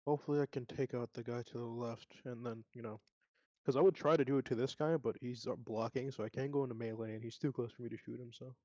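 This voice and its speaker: A man's voice: medium pitch, steady tone, fast talker, a little mellow, no echo. A man chatting casually as a tabletop game player, tentatively thinking his moves through aloud. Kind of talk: casual chat. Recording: dry, slightly muffled voice mic.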